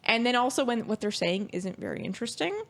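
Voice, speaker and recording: A woman's voice: fairly high pitch, animated, fast talker, creaky, fairly bright tone. A woman speaking bluntly and critically in a casual podcast review chat as co-host.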